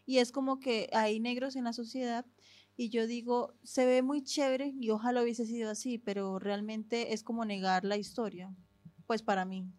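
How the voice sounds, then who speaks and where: A woman with a fairly high voice talks casually on a podcast, stopping and restarting often as she searches for words. The sound is dry and close, with no room echo. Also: fairly even intonation, normal speed, darkish tone. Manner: hesitant, many fillers and restarts. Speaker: podcast co-host. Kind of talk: casual conversation.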